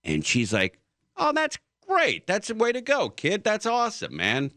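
Chatty man with bright, lively voice. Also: sits high, measured pace, no echo, studio quality. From Southern California. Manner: rambling storyteller with many fillers and restarts. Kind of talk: casual conversation.

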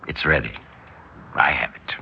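An actor in a 1960s radio drama playing a smooth, scheming brother, speaking in a measured, insinuating way. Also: male voice, rumbling low, exaggerated voice. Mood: cold, conspiratorial. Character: smooth, scheming brother in a family business plot. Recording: muffled, hissy 1960s radio recording.

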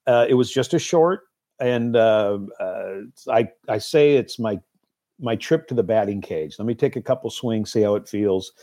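A man giving a casual interview as a film producer and guest, speaking in a halting, thoughtful way. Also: lowish voice, mellow. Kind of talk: casual talk-show interview.

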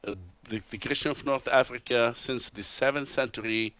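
A man from Tunisia with an Arabic accent, a radio guest telling his life story in an earnest, halting way with many pauses and restarts. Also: mid-range voice, fairly even intonation, fairly bright tone. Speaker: guest, TV host and author. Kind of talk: interview testimony. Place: convention broadcast booth.

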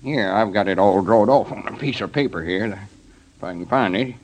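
An actor playing a stubborn, scheming old rural storekeeper with a folksy Southern American drawl in a 1930s radio comedy. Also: male voice, lowish voice, moderate pace, a little mellow, a little hiss or hum, lightly acted. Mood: scheming, confident. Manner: folksy, drawling country talk. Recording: 1930s radio broadcast recording.

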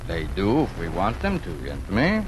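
Gentle folksy farmer father, lively pitch. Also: normal speed, noisy background. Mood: tender, wistful. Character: gentle, wistful farmer father, middle-aged. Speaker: radio drama actor. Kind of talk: 1940s radio acting. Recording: old 1940s radio broadcast with music.

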